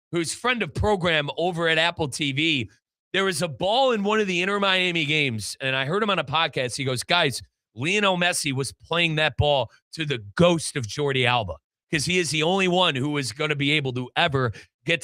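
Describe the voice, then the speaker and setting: Bright, forceful male sports show host. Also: fairly high pitch, dry recording. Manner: emphatic, opinionated. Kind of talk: soccer analysis talk show.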